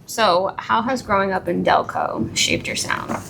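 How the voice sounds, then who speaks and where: A woman's voice: mid-range voice, a little slow, creaky. A woman interviewer asking questions in a playful, teasing manner. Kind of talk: casual interview. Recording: noisy room.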